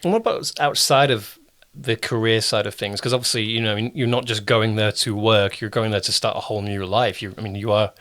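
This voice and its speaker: A man's voice: lowish voice, fairly even intonation, brisk, fairly bright tone. A British man asking questions in a casual, conversational interview style. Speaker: interviewer, producer.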